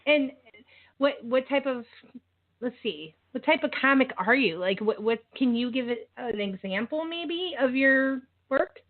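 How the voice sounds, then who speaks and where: Female interview host, western Massachusetts accent, high voice, phone-quality sound. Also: expressive, unhurried. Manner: chuckling, encouraging interviewer. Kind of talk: casual interview chat. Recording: tinny phone line.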